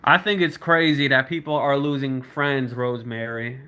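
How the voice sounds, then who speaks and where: A man's voice: medium pitch, fairly even intonation, moderate pace, sharp tone, smooth. Laid-back young man chatting on a livestream. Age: 20s. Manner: laid-back, laughs often, talks to chat by name. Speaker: livestream host. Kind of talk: casual livestream chat reading comments.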